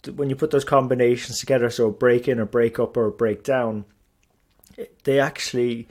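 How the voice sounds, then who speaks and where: A man's voice: medium pitch, fairly even intonation, moderate pace, darkish tone. A middle-aged man from Ireland and England, hosting a solo podcast in a chatty, self-deprecating way with many fillers and restarts. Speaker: podcast host.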